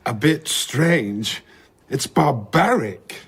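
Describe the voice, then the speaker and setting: An actor playing a sceptical man in a radio drama, dryly probing and wryly disapproving, with a husky voice. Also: medium pitch, lively intonation, very slow, a bit bright, heavily acted. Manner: dry, questioning.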